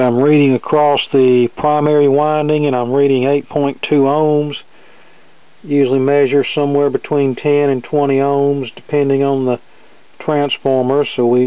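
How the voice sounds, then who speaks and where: A man explains a technical repair as he works, in a smooth, clear voice that stays very level in pitch. His delivery is plain-spoken and measured. The sound is thin and band-limited, like a phone line, with little room sound. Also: flat delivery, no room sound. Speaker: hobbyist radio repair demonstrator. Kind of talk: hands-on repair walkthrough, talking through steps. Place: indoor cluttered electronics workbench.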